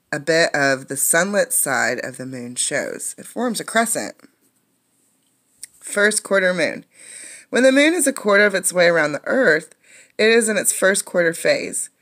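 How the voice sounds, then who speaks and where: A woman's voice: fairly low pitch, fairly bright tone. A woman reading a children's science book aloud like a teacher, explaining things as she goes. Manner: explanatory, pausing to comment and ask questions.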